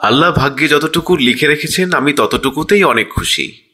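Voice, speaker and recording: A man playing an honest, pious small shopkeeper in a cartoon, gentle and courteous. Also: on the high side, normal speed, breathy edge. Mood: content. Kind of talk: cartoon story character dialogue.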